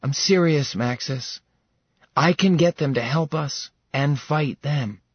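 Determined young heroine, earnest and persuasive, read by a man, raised pitch, husky tone. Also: slow, no room sound, dull audio. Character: determined young warrior woman.

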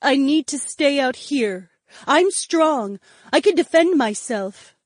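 A young bear girl in a storybook, small but stubborn, speaks in a high, earnest voice that swoops with feeling, as a man puts her on. She sounds scared yet insists on standing her ground, and the recording is dry and close. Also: expressive, takes it slowly, no echo, heavily acted. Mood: determined.

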